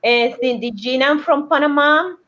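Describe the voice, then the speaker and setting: A middle-aged woman from Colombia with a Spanish accent, speaking English haltingly and searching for words in a casual chat. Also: high-pitched, fairly bright tone. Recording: dull lo-fi sound.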